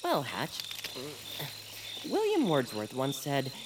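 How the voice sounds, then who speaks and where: An actor playing a timid, supportive male bird, hesitant and gently earnest, in an audio drama. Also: medium pitch, huge pitch swings, takes it slowly, background noise, heavily acted. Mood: encouraging.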